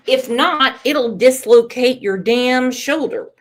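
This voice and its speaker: A woman's voice: high-pitched, slow. A woman hosting a casual live stream, reading chat comments aloud with emphatic, profane commentary while losing her voice. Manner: animated, swearing, emphatic.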